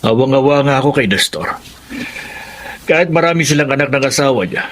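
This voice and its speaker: A man's voice: medium pitch, fairly quick, fairly bright tone, a little hiss or hum, lightly acted. A man playing a melancholy kind husband. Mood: sad. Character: Federico, kind, troubled middle-aged husband. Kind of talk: radio drama acting.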